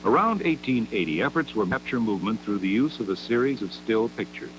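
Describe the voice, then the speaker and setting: A man narrates a scripted 1960s educational film in a measured, didactic manner, with deliberate phrasing, on an old 16mm soundtrack. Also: fairly low pitch, lively intonation, background noise.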